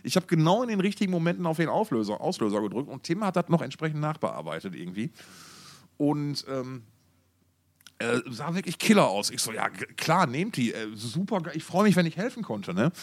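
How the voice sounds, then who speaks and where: A man's voice: a little high, sing-song, brisk. A middle-aged man co-hosting a podcast, chatting casually about music in a rambling, wordy, jokey way. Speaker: podcast co-host.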